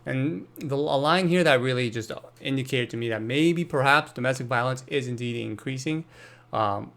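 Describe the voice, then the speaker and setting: A man's voice: mid-range voice, normal speed, creaky, a bit bright. A young man hosting a solo podcast monologue, thinking aloud and correcting himself often, with many fillers. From Brazil. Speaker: podcast host.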